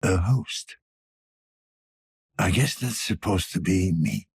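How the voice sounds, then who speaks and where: A man playing a weary, gruff older police detective in a cyberpunk video game, speaking tersely in a low-key, world-weary way. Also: slow pace, no echo, clean studio sound, heavily acted. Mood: wry. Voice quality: husky, gravelly.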